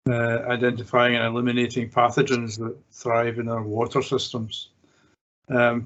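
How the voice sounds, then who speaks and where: A man hosting a webinar and introducing the speaker, hesitant with fillers and repeated words. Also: mid-range voice. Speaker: webinar host, committee member. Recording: dull lo-fi webinar audio.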